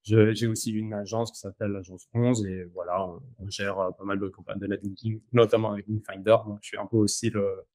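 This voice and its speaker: A man with an Argentine accent, talking casually as an interview guest, relaxed and slangy, with frequent fillers and restarts. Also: lowish voice, a little fast, hardly any pauses, dry recording. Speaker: guest, company founder.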